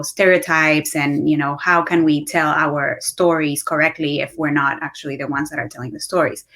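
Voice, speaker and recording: A woman's voice: sits low, fairly bright tone. A woman in her late thirties with an Argentine Spanish accent, facilitating a casual panel discussion. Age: late 30s. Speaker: panel facilitator, marketing executive.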